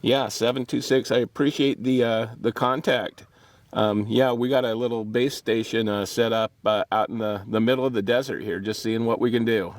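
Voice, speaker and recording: A man working a CB radio from a Jeep in the desert, calling out and chatting in a relaxed, hesitant way with many pauses and fillers. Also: medium pitch, slight background noise. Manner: relaxed, hesitant, lots of uh's. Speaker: CB radio operator. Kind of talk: CB radio calls and chat.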